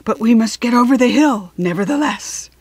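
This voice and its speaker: A woman's voice: fairly high pitch, lively intonation, moderate pace, a little mellow, breathy edge, dry recording. A woman voicing a living scarecrow in a storybook, polite but determined in manner.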